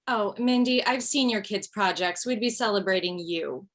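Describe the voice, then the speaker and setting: A woman's voice: medium pitch, moderate pace, a little hiss or hum, natural voice. A woman acting a wry widowed mother doing stand-up. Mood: snarky. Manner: wry, rambling, punchy comic delivery. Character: recently widowed mother in her forties, rambling, darkly joking. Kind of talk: table read of stand-up style monologue.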